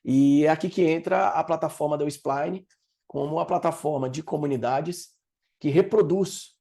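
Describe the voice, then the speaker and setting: A man's voice: on the high side, moderate pace, creaky, mellow. A middle-aged man with a Bahian accent giving a professorial conference talk, explaining ideas with frequent fillers. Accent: Bahian Brazilian Portuguese. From Salvador, Bahia, Brazil. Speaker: project leader presenting at an event. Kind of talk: conference presentation.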